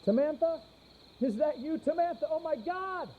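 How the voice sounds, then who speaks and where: A man's voice: very high voice, lively intonation, normal speed, phone-quality sound, a little hiss or hum. A man playing a nonchalant, joking college student in an amateur radio play, speaking dismissively. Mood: mock panic.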